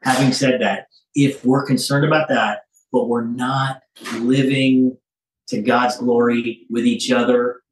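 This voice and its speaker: A middle-aged man speaks in an earnest, emphatic conversational way at a medium pitch. He sits in an echoey office, a little far from the phone that picks him up. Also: steady tone, a little slow. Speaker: studio guest. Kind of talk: casual, earnest chat. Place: indoor office with green walls. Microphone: phone across the room on video call.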